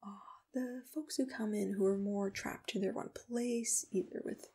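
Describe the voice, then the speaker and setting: A woman softly whispering in a gentle, reassuring, patient way, asking questions as a matchmaker in an ASMR roleplay, close to the microphone. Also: medium pitch, steady tone, a little slow. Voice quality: soft breathy whisper. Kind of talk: ASMR personal-attention roleplay, unscripted interview questions.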